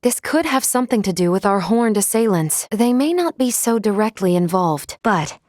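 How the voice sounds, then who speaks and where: Woman doing a polite protective android first mate for a video game, mid pitch, measured formal wording, very dry recording. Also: expressive, a little mellow, no room sound, lightly acted. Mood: calm, analytical. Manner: formal, precise, measured diction. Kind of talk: scripted video game character lines.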